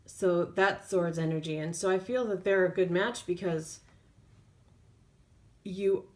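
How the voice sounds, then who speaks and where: Woman giving an unscripted tarot reading, lowish voice. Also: fairly even intonation, a little slow, a little mellow. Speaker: tarot reader. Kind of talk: intuitive tarot reading, spoken off the cuff.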